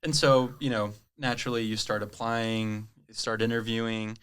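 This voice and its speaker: Man from Brazil, Portuguese first language, chatty, bright tone, smooth clear voice, interview guest. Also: lowish voice, little pitch movement, normal speed. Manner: chatty, lots of "right?" tags and laughs. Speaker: guest, cloud sales rep. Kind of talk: casual interview storytelling.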